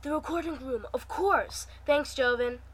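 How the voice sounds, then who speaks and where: A woman's voice: sits high, measured pace. A woman voicing an anxious, caring young man from an office story, earnest and hesitant in amateur reading. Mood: concerned.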